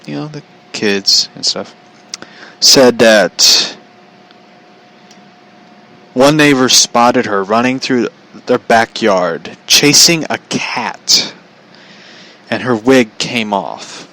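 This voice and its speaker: Man, bright forceful voice, casual podcast storyteller, foul-mouthed, incredulous, mid pitch. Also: drawn-out words, low-quality recording. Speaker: podcast host telling stories.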